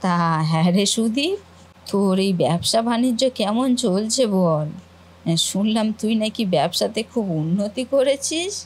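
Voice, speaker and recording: A woman's voice: mid-range voice, expressive, slightly rough. A woman voicing a scheming, nosy older aunt in a cartoon family drama, with an insinuating, gossipy manner. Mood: prying. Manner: insinuating, gossipy, drawn-out questioning.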